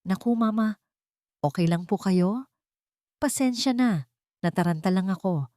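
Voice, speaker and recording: A woman's voice: mid-range voice, lively intonation, unhurried, warm tone, no room sound, clean studio sound, close to an everyday voice. Woman acting out a scornful, two-faced daughter-in-law. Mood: falsely caring. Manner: mocking or sugary-false.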